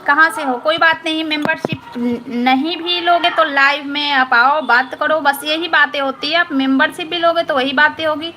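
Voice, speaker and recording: Woman on a live stream, high voice, chatty and repeats herself a lot, noisy room behind her. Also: fairly even intonation, normal speed, few pauses. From Patna, Bihar. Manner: chatty, repetitive, keeps asking "understood?". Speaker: live-stream host answering viewer comments. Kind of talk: casual live-stream chat.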